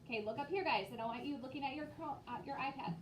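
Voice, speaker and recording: A woman's voice: high voice, very fast. A woman teaching elementary children in a classroom, giving directive, step-by-step instructions. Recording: echoey room, distant mic, faint noise.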